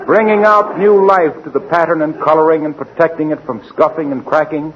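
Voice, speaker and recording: A man acting as a cheerful salesman neighbour with smooth sales patter in a 1940s radio comedy. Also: a little high, fairly even intonation, brisk, lightly acted. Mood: chummy, eager. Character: cheerful salesman neighbour slipping in floor wax pitches. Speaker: announcer. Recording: dull, faintly noisy 1940s radio recording.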